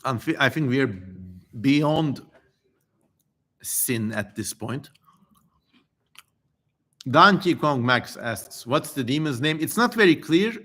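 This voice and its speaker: A man's voice: mid-range voice, expressive, slow pace, long pauses, bright, forceful tone. A man hosting a casual livestream, reading aloud and commenting in a wry, playfully theatrical way. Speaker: livestream host.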